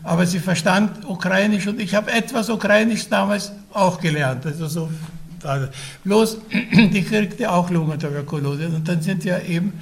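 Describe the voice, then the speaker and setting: A man in his nineties, Russian-speaking from childhood and raised in Vienna, telling his life story haltingly, with frequent pauses, restarts and throat clearing. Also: sits high. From Vienna, Austria. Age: older. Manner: hesitant, many fillers and restarts. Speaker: interviewee recounting his life. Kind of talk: oral history recollection.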